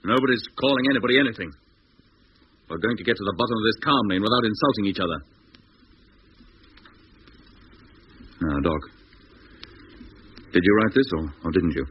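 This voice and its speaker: An actor in a 1950s British radio drama playing a firm space crewman who keeps the peace with brisk, commanding speech. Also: on the low side, animated, close to an everyday voice. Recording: muffled, noisy 1950s radio recording.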